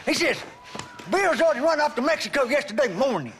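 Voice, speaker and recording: An actor playing an excited frontier deputy in a Western video game, urgent in delivery. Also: male voice, very high voice, quick pace, a little hiss or hum, heavily acted.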